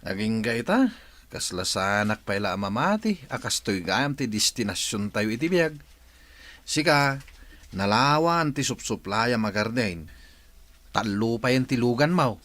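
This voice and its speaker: A man's voice: mid-range voice, lively intonation, unhurried, fairly bright tone. A man acting a cheerful, teasing, lovestruck village man in an Ilocano radio comedy-drama, with an Ilocano accent. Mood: teasing, amused.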